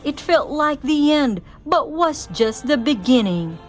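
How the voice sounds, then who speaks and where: A woman giving an expressive, theatrical read-aloud of a rhyming children's story. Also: sits high, measured pace. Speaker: storybook reader.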